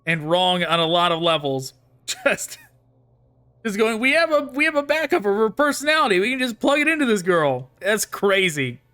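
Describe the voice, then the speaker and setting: High-pitched, bright-voiced man gaming. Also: expressive, normal speed, clean tone. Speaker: gaming streamer. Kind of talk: casual let's play commentary.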